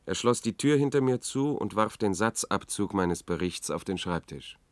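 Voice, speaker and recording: Man playing a low-voiced, weary journalist, dry. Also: expressive, moderate pace, no room sound, natural voice. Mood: weary, factual. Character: weary, sober male journalist recounting a tragedy. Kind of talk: radio drama first-person narration.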